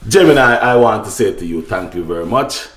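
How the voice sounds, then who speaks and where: A man's voice: fairly low pitch, lively intonation, brisk, echoey room. A man with a Jamaican accent hosting casual opinion talk for his viewers, speaking emphatically and repeating phrases. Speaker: online talk show host.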